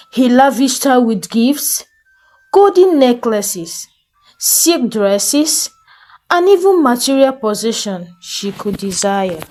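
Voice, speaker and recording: A woman storyteller with a fairly high voice. She narrates a folktale slowly and deliberately, with lively swings of pitch and a soft, mellow tone. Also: poor audio. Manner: slow, expressive, deliberate storytelling. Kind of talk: dramatic scripted folktale narration.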